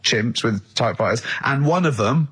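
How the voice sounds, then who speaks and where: A man's voice: medium pitch, animated, moderate pace, a bit bright, slightly rough. A man co-hosting a radio show, arguing casually and emphatically, interrupting and repeating himself in exasperation. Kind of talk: casual argumentative radio banter.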